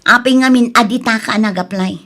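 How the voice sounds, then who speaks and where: Casting: actress as frustrated, dutiful elder sister around thirty, high voice, Ilocano accent, reproachful audio-drama delivery. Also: female voice, a little fast, a bit bright. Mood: exasperated scolding. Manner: exasperated, reproachful.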